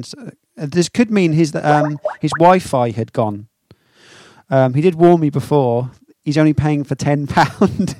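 An English man with a fairly high voice, recorded close and dry. He co-hosts a casual football podcast with teasing, deadpan banter and the odd swear word. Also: no room sound. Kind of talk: casual joking chat.

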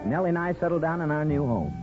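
An actor playing an earnest, devoted small-town husband in 1950s radio drama, speaking sincerely and a little haltingly. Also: male voice, on the high side, animated, lightly acted. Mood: nostalgic narration. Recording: muffled 1950s radio recording, faint music.

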